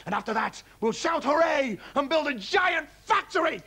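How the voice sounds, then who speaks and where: A man voicing an excitable, scheming middle-aged farmer father who gushes about his plans. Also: sits high, moderate pace, vocal fry, husky, voice pushed up, heavily acted. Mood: overexcited. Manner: breathless, gushing enthusiasm.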